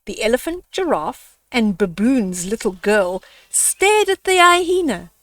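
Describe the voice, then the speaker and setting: An older woman hosting a children's storytime, reading a picture book aloud in a measured, dramatic way. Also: fairly high pitch, big pitch swings, no room sound, recorded from a distance.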